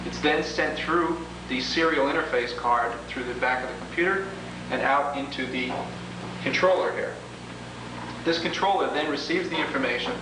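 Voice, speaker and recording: A man presenting an instructional product demonstration, explaining each step methodically in formal phrasing. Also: mid-range voice. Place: indoors, in a room with equipment. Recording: echoey room, clearly noisy old home video.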